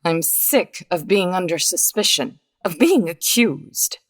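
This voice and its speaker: An actress playing a guarded, weary 1950s widow in a radio mystery, with a hesitant, melodramatic delivery. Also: female voice, on the low side, lively intonation, takes it slowly. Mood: defensive. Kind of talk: 1950s radio drama acting.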